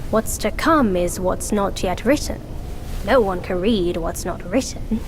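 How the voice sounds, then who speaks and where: A woman's voice: medium pitch, a little slow, over music, close to an everyday voice. An actress playing a sly woman in a game cutscene, questioning and challenging with a teasing, pointed manner. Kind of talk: dramatic game cutscene acting. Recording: loud ambient noise behind.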